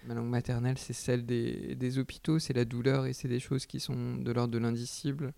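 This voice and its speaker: Brief: hesitant artist guest, man, gravelly and dark-toned, close dry sound. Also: medium pitch, little pitch movement, brisk, no echo. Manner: hesitant, searching for words. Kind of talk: reflective interview answers.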